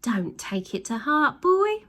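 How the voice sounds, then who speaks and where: A woman's voice: high-pitched, sing-song, takes it slowly, bright, forceful tone, voice pushed up, full character voice. Woman doing a chirpy, mocking greedy wife. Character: greedy, mocking, rude ice cream van wife.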